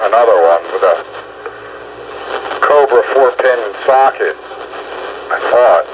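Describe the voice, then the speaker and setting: A man's voice: medium pitch, slow pace, muffled recording. Older man on a very noisy radio. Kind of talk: casual radio hobbyist chat.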